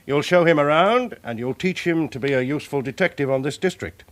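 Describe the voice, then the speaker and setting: An actor with a British accent playing a brisk, commanding male police chief inspector, giving curt orders in a radio drama. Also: a little high, close to an everyday voice. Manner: curt, authoritative, clipped orders. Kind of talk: 1980s radio drama acting.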